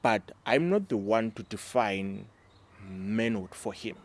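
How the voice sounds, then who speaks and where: A middle-aged South African man from the Eastern Cape, a native Xhosa speaker, speaking in a measured, reflective way about fatherhood and often checking in with his listeners. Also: on the low side, lively intonation. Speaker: discussion panelist, father. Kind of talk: casual reflective discussion.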